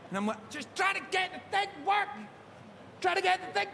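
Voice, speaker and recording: A man from Glasgow with a Scottish accent, hosting a live panel chat and telling jokey, animated stories on a theatre stage. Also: very high-pitched, moderate pace, gravelly. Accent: Glaswegian Scottish. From Scotland. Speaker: panel host. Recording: echoey hall, background noise.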